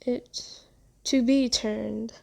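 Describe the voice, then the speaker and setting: A woman voicing a kind but possessive female vampire owner in an ASMR roleplay, soothing and genteel, coaxing gently in halting phrases. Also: a little high, fairly even intonation, gravelly, exaggerated voice. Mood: gentle, coaxing. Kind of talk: ASMR roleplay, scripted monologue.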